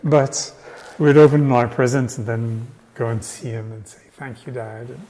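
A man's voice: medium pitch, fairly even intonation, moderate pace, dark tone, a little hiss or hum. An older man casually reminiscing inside a large hall of a house. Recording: echoey hall, long reverb.

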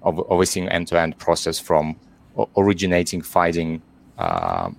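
A man's voice: deep voice, very animated, normal speed, vocal fry. A middle-aged man from Ukraine with a Ukrainian accent, answering as a guest in a webinar interview over a video call, full of fillers and restarts. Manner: hesitant, many fillers and restarts.

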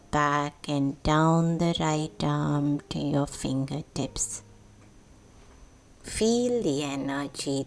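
Very slow, low-voiced woman guiding meditation. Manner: soothing, slow, deliberate pauses between short phrases. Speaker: meditation guide, podcast host. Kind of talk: guided sleep meditation. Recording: voice over background music. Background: meditation music.

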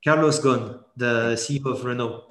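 A man's voice: medium pitch, steady tone, moderate pace, a bit bright. French-accented man in an echoey room. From born in Geneva to French parents. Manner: rambling, many restarts and fillers. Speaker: guest. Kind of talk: casual podcast interview.

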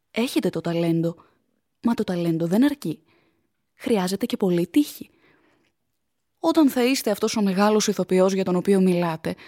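A woman's voice: medium pitch, warm tone, no echo, clean studio sound, close to an everyday voice. A woman playing a pious, anxious young fiancée in a radio drama, speaking earnestly and formally with tender reproach. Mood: doubtful.

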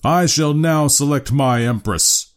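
A man reads as a towering young rebel who roars his claims to rule, his voice pushed up high and loud. The lines come slow and heavy, each word weighed, and faint music plays under them. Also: lightly acted. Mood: commanding. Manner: bellowing, grandiose proclamations. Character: towering defiant young man, boastful and commanding.

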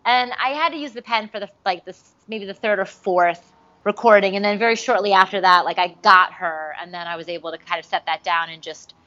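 A woman's voice: medium pitch, fairly quick, lo-fi sound. A woman, a voice actress, telling stories in a casual interview, chatty and full of "like" fillers. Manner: chatty, many "like" fillers, animated re-enacted quotes.

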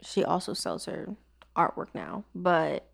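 A woman's voice: lowish voice, very animated, measured pace, creaky. A woman co-hosting a casual podcast chat, rambling with plenty of fillers. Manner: chatty, rambling, many fillers. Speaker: podcast co-host.

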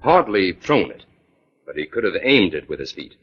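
Low, husky, confident male detective. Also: very animated, moderate pace, full character voice. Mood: assured, explaining. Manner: explanatory, assured. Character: confident male detective, self-assured and wry. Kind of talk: 1940s radio drama acting. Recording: dull old 1940s radio recording.

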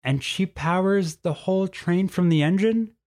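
A man's voice: high voice, a little slow, a little mellow, voice pushed up. A man voicing a skeptical, cheeky young winged boy in a storybook, blunt and inquisitive in a casual way. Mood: incredulous. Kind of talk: storybook character voice.

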